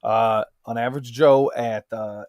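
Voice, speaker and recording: A man co-hosting a casual livestream chat, brash and profane, with sudden loud jumps. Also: medium pitch, fairly even intonation, measured pace, no room sound, clean studio sound. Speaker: livestream co-host.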